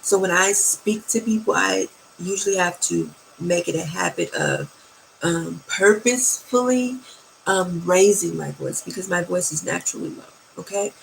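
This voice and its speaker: Woman, low soft sultry voice, heavy vocal fry, slow delivery, faint background hiss. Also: low-quality recording. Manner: slow and sultry, checks in with "okay?" and "right?". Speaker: host giving dating advice. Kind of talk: slow, soft-spoken advice talk.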